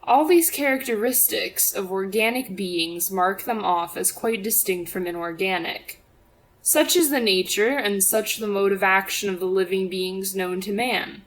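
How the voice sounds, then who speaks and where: A woman's voice: mid-range voice, measured pace, low-quality recording. A woman reading a religious apologetics audiobook as scripted narration, in a formal, expository manner. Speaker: audiobook reader.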